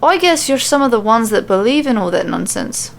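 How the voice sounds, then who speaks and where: A woman's voice: on the high side, big pitch swings, a little fast, vocal fry. Woman putting on a brash Irish warrior woman. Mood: nonchalant, blunt. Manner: nonchalant, blunt.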